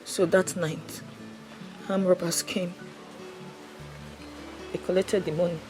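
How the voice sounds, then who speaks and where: An actress playing a grieving relative in a film drama, halting and sorrowful. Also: female voice, fairly low pitch, flat delivery. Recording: prominent music under the dialogue. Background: music.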